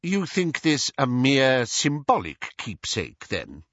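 A man voicing a stern, suspicious older government minister in an audiobook, with measured, insistent questioning. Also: mid-range voice, huge pitch swings, a bit bright, no room sound, muffled recording, pitched above the performer's own voice, heavily acted. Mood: probing, suspicious. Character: stern, probing older male government minister interrogating youngsters. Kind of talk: audiobook character voice.

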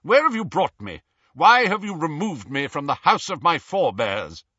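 A man putting on a pompous, husky old professor. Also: fairly high pitch, big pitch swings, moderate pace, bright, forceful tone, dry recording, muffled recording, pitched above the performer's own voice, full character voice. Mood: haughty, demanding. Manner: pompous, formal, disdainful. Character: haughty old male professor in a talking portrait, sneering, indignant.

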